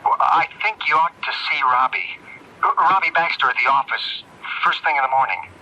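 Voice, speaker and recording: Nervous art dealer caught up with mobsters: agitated, pleading, stammering, very animated pitch, radio drama. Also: male voice, moderate pace, heavily acted. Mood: nervous, apologetic. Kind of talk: radio mystery drama acting. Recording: dull, hissy AM radio recording.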